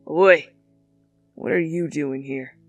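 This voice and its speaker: A woman voicing a cocky, blunt teenage delinquent boy in an audio fan story, gruff and dismissive. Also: deep voice, takes it slowly, no room sound, soft background music, voice pushed down. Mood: gruff, annoyed.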